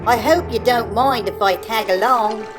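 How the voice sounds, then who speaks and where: A woman voicing a tiny, friendly yellow finch in a storybook, chatty, polite and expressive. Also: fairly high pitch, normal speed, over music, natural voice. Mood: friendly, helpful. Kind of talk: storybook character voice.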